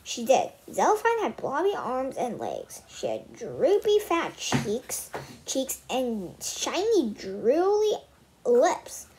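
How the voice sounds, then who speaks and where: A young girl reads a children's story out loud in a high voice. Her pitch swings around in an animated way. She often stumbles, repeats a phrase, and slips into casual asides. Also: takes it slowly, a little hiss or hum, low-quality recording. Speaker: read-aloud host. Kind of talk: reading a children's book aloud with casual asides.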